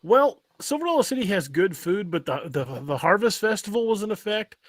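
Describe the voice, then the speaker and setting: Higher-pitched man hosting a casual livestream. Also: very animated, a little fast, no room sound, studio quality. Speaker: podcast host reading live chat comments.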